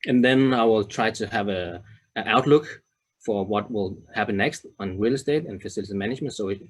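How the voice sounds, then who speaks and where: A man in his thirties from Denmark giving a presentation, halting often with fillers and restarts. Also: fairly low pitch, husky. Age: 30s. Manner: hesitant, many fillers and restarts. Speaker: conference speaker. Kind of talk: presentation to a web audience.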